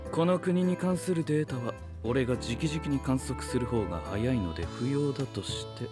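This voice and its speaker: A man's voice: medium pitch, animated, moderate pace, over music, natural voice. A man playing a haughty, exacting young researcher in an anime voice drama, speaking in stiff, pedantic, formal phrases. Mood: cool, exacting. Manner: stiff formal wording, pompous, clinical.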